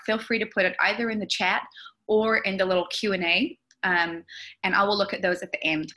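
Bright-voiced New woman presenting. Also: mid-range voice. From New Zealand. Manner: chatty, explanatory, with frequent fillers. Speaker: speech and language therapist presenting a webinar. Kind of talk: friendly webinar presentation. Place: indoor room with plants behind. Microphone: video call webcam.

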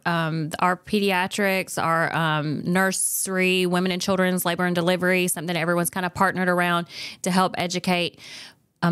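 A woman's voice: lowish voice, clean tone, no room sound, studio quality. A middle-aged woman co-hosting a podcast in a conversational interviewing style. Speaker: podcast co-host. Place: small indoor podcast studio. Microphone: boom-arm podcast microphone.

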